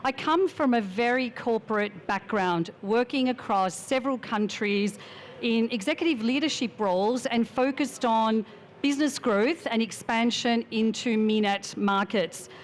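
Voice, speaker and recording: A woman giving a measured, formal introduction as a panelist at a finance conference. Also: fairly high pitch. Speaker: panelist, strategic advisor.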